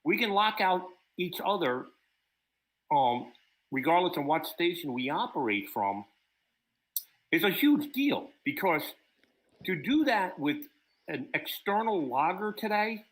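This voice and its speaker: A man's voice: high voice, sing-song, unhurried, long pauses, fairly bright tone, low-quality recording. A man hosting an unscripted livestream roundtable, explaining things and circling back to restate his points. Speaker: host of an amateur radio contesting roundtable.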